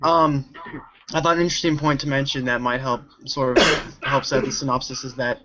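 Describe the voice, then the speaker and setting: A man's voice: medium pitch, steady tone, a little fast, heavy background noise. A man reviewing films in a casual group chat, often peppering his speech with filler words. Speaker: film reviewer. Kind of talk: casual group film discussion. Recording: dull lo-fi sound.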